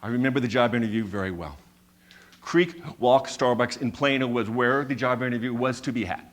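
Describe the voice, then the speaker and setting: A man in his fifties telling a personal story on stage, with a stutter that repeats sounds and words, building his points through measured, emphatic repetition. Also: mid-range voice. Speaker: speaker giving a talk.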